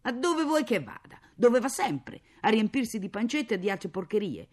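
Woman playing sharp-tongued, harried mother. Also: low-pitched, steady tone, normal speed. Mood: scolding. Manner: nagging, sarcastic, exclamatory. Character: harried poor mother, sharp-tongued, scolding yet tender.

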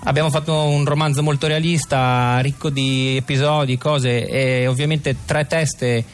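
Young man, mid-pitched, joking casually. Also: steady tone, normal speed, soft background music. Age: young adult. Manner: tongue-in-cheek. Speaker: interview guest, co-author.

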